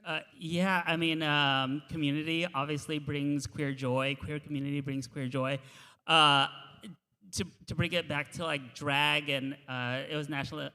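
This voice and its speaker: An earnest man drag performer reflects on a personal story at a panel. His voice is bright and forceful and sits a little high. The room adds a long hall reverb. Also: little pitch movement, a little slow, clean tone. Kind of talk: panel discussion, personal anecdote. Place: university event hall.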